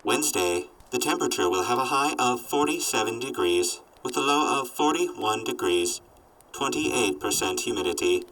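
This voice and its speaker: A man voicing a sardonic robot companion in an audio drama, reporting in a formal, clipped deadpan. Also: medium pitch, moderate pace, far from the mic, natural voice, low-quality recording. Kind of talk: post-apocalyptic audio drama acting.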